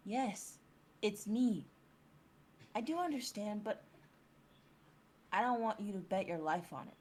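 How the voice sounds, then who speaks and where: A woman's voice: medium pitch, expressive, unhurried, a little mellow, slight background noise, lightly acted. A woman playing a hero's worried, loving companion in a dramatic fantasy reading. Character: Nova, worried loving female companion of the hero.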